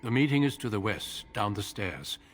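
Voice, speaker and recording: A grave warrior commander speaks slowly and solemnly. His voice sits very low, with faint music underneath. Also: animated. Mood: calm. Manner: solemn, measured. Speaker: game character.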